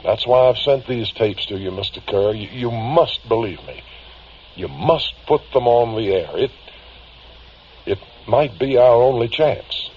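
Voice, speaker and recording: An actor playing a grave, worried older man confiding strange cases, speaking haltingly and earnestly in vintage radio drama style on a dull recording. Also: medium pitch, slight background noise, close to an everyday voice. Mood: fearful, urgent. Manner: halting, deliberate, earnest, pausing mid-phrase. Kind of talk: vintage radio drama acting, confessional monologue.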